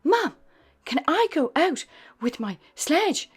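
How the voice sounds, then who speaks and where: A woman voicing a small, excited male puppy in a storybook reading, with high, eager exclamations. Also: slow pace, soft background music, exaggerated voice.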